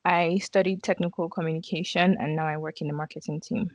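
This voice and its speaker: Young woman, Nigerian accent, intern guest, casual chat, slightly low pitch. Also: fairly even intonation, brisk, dry recording. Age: young adult. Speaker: marketing intern, podcast guest.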